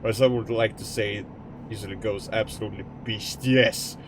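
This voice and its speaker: A man's voice: fairly low pitch, fairly even intonation, fairly quick, background noise. A young man from Estonia with an Estonian accent, a streamer chatting casually and jokingly with his live chat. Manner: rambling and jokey, answering chat.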